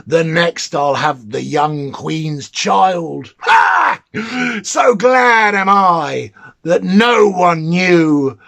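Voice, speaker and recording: A man voicing a gloating, spiteful little mannikin in a storybook reading, with slow, drawn-out, menacing delivery. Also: high voice, gravelly, a bit bright, full character voice. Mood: gleeful, chanting.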